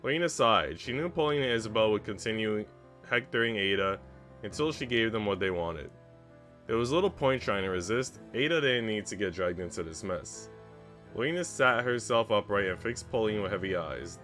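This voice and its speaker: Low-voiced man narrating over music. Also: lively intonation, moderate pace, fairly bright tone. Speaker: streamer reading a visual novel aloud. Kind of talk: storybook narration with casual chat asides. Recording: music behind the voice. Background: background music.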